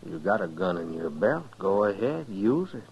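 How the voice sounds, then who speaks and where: A man playing a menacing, taunting gunfighter bully in a 1950s radio western, husky and sneering, with an American accent. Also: deep voice, very animated, normal speed, darkish tone, heavily acted. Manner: sneering, goading. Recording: dull 1950s radio recording.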